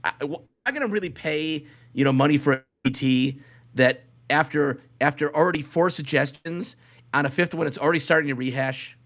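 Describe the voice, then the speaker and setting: A man's voice: fairly high pitch, a little fast, a bit bright. A man hosting a casual comedy podcast, talking in a rambling, joking way and commenting as he reads from a list. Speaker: podcast host.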